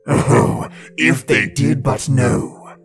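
A man voicing a gruff, irritable devil in a storybook reading, grumbling with emphatic delivery. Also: medium pitch, extremely slow, soft background music, voice pushed down, full character voice. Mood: scornful, knowing. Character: gruff, suspicious, irritable devil, easily angered.

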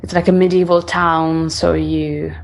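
A woman's voice: sits low, little pitch movement, unhurried, gravelly. A woman in her twenties from Italy with an Italian accent, answering casual interview questions somewhat hesitantly. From northern Italy. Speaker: singer-songwriter being interviewed.

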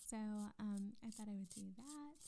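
A woman hosting her own podcast, chatting casually with lots of fillers, giggles and soft half-whispered asides. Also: mid-range voice, moderate pace, creaky, a little hiss or hum. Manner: rambling, many fillers and giggles. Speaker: podcast host.

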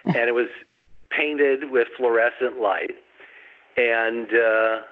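A man's voice: medium pitch, fairly even intonation, slow pace, phone-quality sound. Chuckling male guest telling tales. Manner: chuckles while telling, dry humor. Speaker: guest author. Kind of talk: storytelling in a casual chat.

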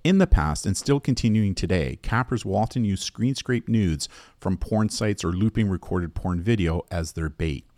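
A man hosting an educational safety podcast, reading a scripted explanation in a measured, explanatory manner. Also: sits low, lively intonation, dark tone, no room sound.

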